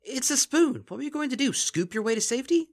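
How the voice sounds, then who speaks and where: A man's voice: extremely high pitch, lively intonation, fast, voice pushed up. A man voicing a protective, scrappy young mare who speaks bluntly and sarcastically. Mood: sarcastic.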